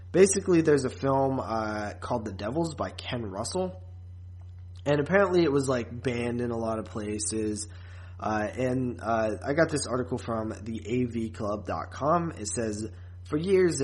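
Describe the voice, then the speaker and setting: A man co-hosting a podcast in a casual, chatty way, often pausing and repeating words as he thinks. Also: medium pitch. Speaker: podcast co-host.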